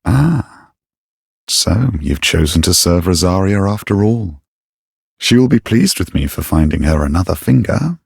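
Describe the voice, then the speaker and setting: An actor playing a sly, haughty knight-assassin in a video game, speaking in a silky, deliberate and theatrically formal manner with a gravelly edge. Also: male voice, very animated, no room sound, clean studio sound, full character voice. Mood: smug, conspiratorial. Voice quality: low, husky, gravelly. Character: sly, menacing, haughty knight-turned-assassin, devoted to his goddess. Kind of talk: video game character dialogue.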